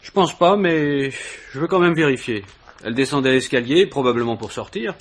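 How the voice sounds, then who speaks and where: A man's voice: mid-range voice, animated, normal speed, lightly acted. Matter-of-fact police inspector played by a man, noisy recording. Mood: casual, brisk. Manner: informal, matter-of-fact questioning. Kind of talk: French radio crime drama acting. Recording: noisy vintage radio recording.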